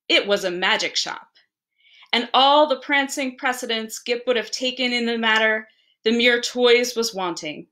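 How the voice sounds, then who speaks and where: A woman narrates a storybook tale in a bright, fairly high voice. Her phrasing is deliberate and theatrical, made for reading aloud. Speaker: library staff reader.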